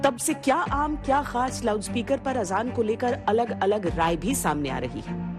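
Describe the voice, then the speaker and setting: A woman's voice: fairly high pitch, expressive, normal speed. A woman reading scripted narration for a television news report. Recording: news voice-over over loud music. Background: music bed.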